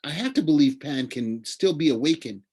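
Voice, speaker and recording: A man's voice: on the high side, lively intonation, normal speed, a little mellow, breathy edge, pitched above the performer's own voice. Man voicing an earnest, plaintive young satyr. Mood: earnest, hopeful. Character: earnest, indignant young male satyr searcher, nature-loving.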